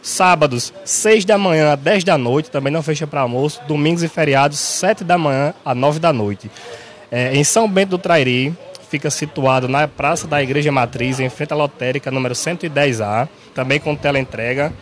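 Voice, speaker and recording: Young northeast brazilian guy pitching deals in a pharmacy, slightly high voice, keeps saying "right?". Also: normal speed. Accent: Northeast Brazilian Portuguese. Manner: salesy, steady, tags lines with "certo?". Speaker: pharmacy staff member. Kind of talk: on-air sales pitch. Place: large bright pharmacy, indoors. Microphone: handheld station mic held by interviewer.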